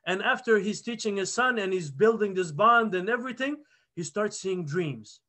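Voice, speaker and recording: High-voiced man telling a religious story. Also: moderate pace. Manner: earnest, animated retelling with restarts. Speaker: halaqa teacher. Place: plain indoor room. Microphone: webcam video call.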